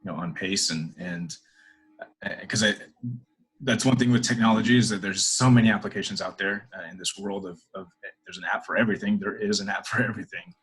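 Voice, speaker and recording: A man's voice: on the low side, fairly even intonation, fairly quick, fairly bright tone, slightly rough, rough recording. A middle-aged man answering interview questions in a hesitant, casual way, full of fillers and restarts. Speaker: interview guest, corporate professional.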